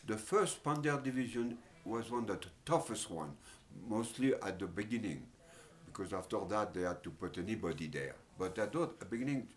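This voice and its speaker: An older man with a French accent, recalling his past in an interview, speaking haltingly with many pauses and fillers. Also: lowish voice, animated. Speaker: oral history interviewee. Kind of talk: reminiscing in an interview.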